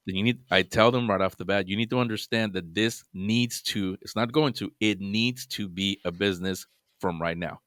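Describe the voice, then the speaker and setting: A man's voice: sits low, a bit bright, dry recording, clean studio sound. A man guesting on a podcast, giving earnest advice in casual chat, often restarting his sentences.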